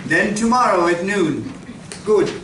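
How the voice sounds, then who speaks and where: A man's voice: high-pitched, animated, takes it slowly. A man playing an earnest, inquisitive scientist in a radio drama, with a careful, questioning and slightly hesitant delivery. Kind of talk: live radio drama acting. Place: library community room, live performance. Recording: echoey room from far off, noisy.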